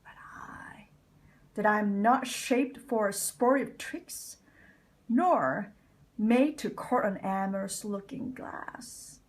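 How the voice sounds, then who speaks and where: A woman giving a deliberate, theatrical recitation of a Shakespeare soliloquy as a bitter, scheming nobleman, with phrases falling into breathy whispers. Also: mid-range voice. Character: bitter, scheming deformed nobleman plotting villainy. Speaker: amateur Shakespeare reader.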